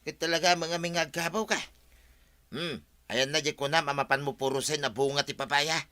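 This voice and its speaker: An exasperated village husband in an Ilocano drama. His voice is fairly high and sharp, with an Ilocano accent and a nagging manner. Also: steady tone, moderate pace, smooth. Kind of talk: Ilocano audio drama acting.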